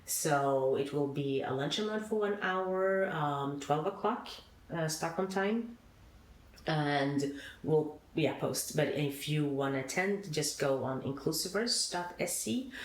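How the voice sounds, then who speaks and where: A woman from Sudan, a founder being interviewed, giving earnest advice in short, halting phrases. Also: sits low. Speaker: guest, founder. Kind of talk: podcast interview answers. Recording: echoey room, faint noise.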